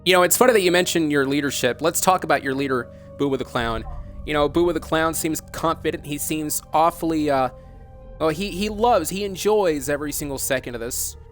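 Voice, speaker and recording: A man's voice: on the high side, brisk, soft background music. A man hosting an on-air interview, putting long, challenging questions in an earnest, insistent yet polite and reproachful way. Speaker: broadcaster interviewing by phone.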